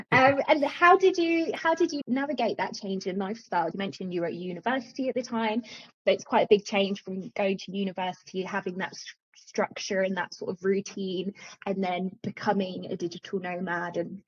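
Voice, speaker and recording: A woman hosting a podcast, introducing the show and interviewing in a conversational way over slightly lo-fi sound. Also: medium pitch. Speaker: podcast host. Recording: dull, slightly lo-fi, slightly husky sound.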